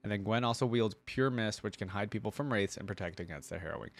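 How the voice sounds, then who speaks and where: A man hosting a reaction video, reading aloud and commenting informally as he thinks out loud for viewers. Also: lowish voice, quick pace, no room sound.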